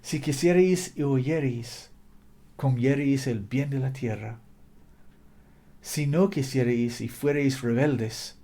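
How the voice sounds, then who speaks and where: A man's voice: mid-range voice, mellow. A man preaching a fervent sermon in a language that is not his native one, exhorting listeners with repetition and occasional slips. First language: not Spanish.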